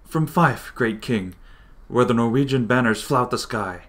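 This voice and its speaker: An actor playing a loyal, forceful thane bringing battle news in a Shakespearean radio drama, in a proclaiming manner. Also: male voice, medium pitch, expressive, unhurried. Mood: proud, urgent. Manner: declamatory, proclaiming.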